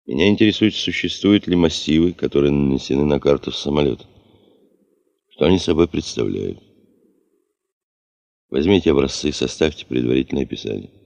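An actor in a radio play playing a stern, sardonic expedition chief who questions a subordinate curtly. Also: male voice, lively intonation, a little fast, pauses often, darkish tone, exaggerated voice. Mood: businesslike. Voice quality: very deep gravelly voice. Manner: commanding, curt, probing. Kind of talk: Russian radio play acting. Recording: muffled archival radio recording.

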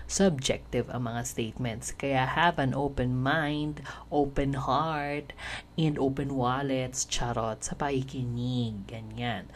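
Podcast host, Filipino woman, very deep voice, lively and playful, faint hiss behind. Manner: energetic, mixes Tagalog and English, laughs often. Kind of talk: casual podcast chat.

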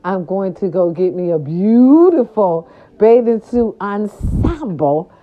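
A grandmother from California talking casually to her viewers, animated and chatty, with salty slang and lots of "right, right" fillers. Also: medium pitch, slow pace. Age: older. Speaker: vlogger. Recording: dull lo-fi sound.

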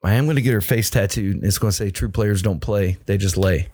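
A man co-hosting a casual podcast, trading dry, deadpan jokes with friends. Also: on the low side, fairly quick, dry recording. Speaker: podcast co-host. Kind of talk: casual banter.

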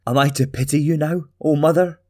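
A man playing a bitter, vengeful young man who hisses and shouts his resentment at his mother. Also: sits low, lively intonation, unhurried, vocal fry, husky, exaggerated voice. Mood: bitter, shouting.

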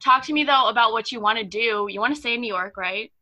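A young woman in her twenties hosting a casual podcast, talking warmly and effusively to her guest. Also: on the high side, quick pace, lo-fi sound. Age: 20s. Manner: gushing, enthusiastic. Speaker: podcast host.

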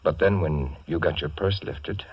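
An actor playing a courteous, secretive Greek immigrant in a 1940s radio drama, speaking in a polite, earnest and slightly hesitant way. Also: male voice, extremely low pitch, normal speed, breathy edge, no echo, heavily acted. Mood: earnest, confiding. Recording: muffled vintage radio recording.

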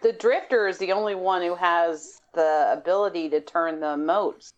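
A woman's voice: on the low side, moderate pace, dull audio. A woman co-hosting a podcast, chatting casually about games and rambling as she searches for words. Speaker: podcast co-host. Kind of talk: casual gaming discussion.

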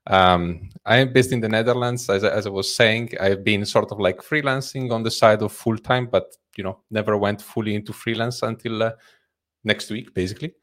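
Man with an Italian accent, lowish voice. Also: steady tone, normal speed, fairly bright tone. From Italy. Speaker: guest co-host. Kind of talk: casual self-introduction.

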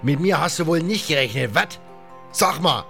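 A man voicing a gruff, bossy pirate captain in an audiobook, barking orders in colloquial slangy speech. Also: on the high side, lively intonation, moderate pace, sharp tone, faint music behind, voice pushed up, exaggerated voice. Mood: angry, commanding. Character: gruff, bossy one-eyed male pirate captain, middle-aged.

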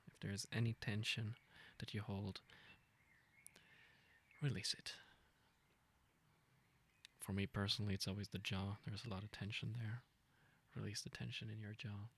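A man leading a guided meditation, speaking gently and informally in an unhurried, intimate way. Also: on the low side, little pitch movement, breathy edge. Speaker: meditation guide, podcast host.

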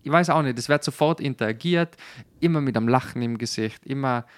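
A man's voice: mid-range voice, fairly quick, no echo, studio quality. A man chatting casually on a podcast, colloquial and searching for his words. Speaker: podcast host.

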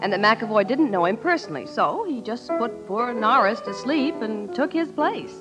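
An actress playing a knowing, brisk female companion to a crime photographer, with an American accent, in a 1940s radio drama. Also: on the high side, animated, close to an everyday voice. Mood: explaining. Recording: muffled old radio broadcast. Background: music.

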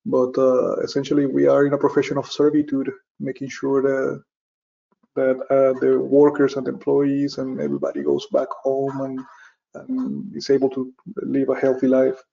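A man's voice: medium pitch, steady tone, creaky, warm tone. Hesitant middle-aged man from Venezuela. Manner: halting, many fillers and restarts. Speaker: guest, safety professional. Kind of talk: interview answer. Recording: dull lo-fi audio.

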